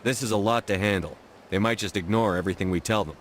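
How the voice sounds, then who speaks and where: A man's voice: on the low side, fast, a little hiss or hum, close to an everyday voice. A man voicing a direct human commander. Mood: steady. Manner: calm, direct. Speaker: military commander.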